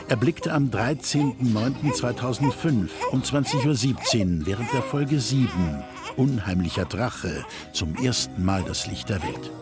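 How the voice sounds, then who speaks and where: A man's voice: medium pitch, expressive, a little slow. A man reading a written text aloud. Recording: music behind the voice.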